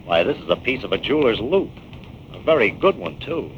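An actor playing a confident, wry male scientist-detective in a 1940s radio drama. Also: lowish voice. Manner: lively, wry, quick banter. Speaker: lead actor. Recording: dull old radio transcription with faint hiss.

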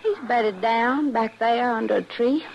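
A feisty young cowgirl, high voice, brash rustic talk, muffled noisy old radio show. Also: measured pace, gravelly. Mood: prickly, sullen. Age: young adult. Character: defiant, feisty young Texas panhandle cowgirl. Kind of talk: 1950s radio western acting.

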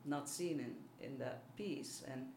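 A woman's voice: extremely low pitch, takes it slowly, a little mellow. Hesitant Belgian-accented woman in a roomy space. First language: French, German and Dutch. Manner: self-deprecating, hesitant. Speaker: artist being interviewed. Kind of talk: casual interview talk. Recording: roomy, faint background noise.

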